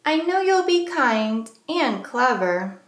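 A woman reading a rhyming children's picture book aloud as a storyteller, with measured, deliberate phrasing for young listeners. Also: high voice, big pitch swings, roomy sound.

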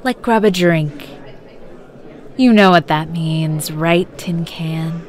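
Brash sarcastic warrior woman, acted, unhurried. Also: low voice. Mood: mocking. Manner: mocking, combative. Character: brash, sarcastic, hard-drinking female warrior. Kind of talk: fan audio drama acting.

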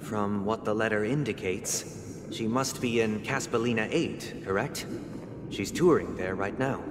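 Man voicing a low, solemn young gentleman. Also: noisy background, lightly acted. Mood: measured. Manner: formal, measured, eloquent. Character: composed, solemn young gentleman leader, protective brother. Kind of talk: dramatic video game voice acting. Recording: long reverb, game music behind.

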